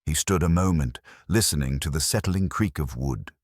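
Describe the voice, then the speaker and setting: A man narrating a mystery story from a script in a measured, precise, literary manner. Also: a bass voice, expressive, dry recording, studio quality.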